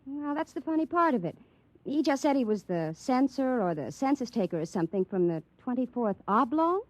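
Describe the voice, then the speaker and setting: Ditzy young secretary, 1950s radio style: sweet, high, very animated pitch. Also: female voice, a little fast, noisy background. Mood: chatty, wondering. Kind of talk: 1950s radio comedy-drama acting.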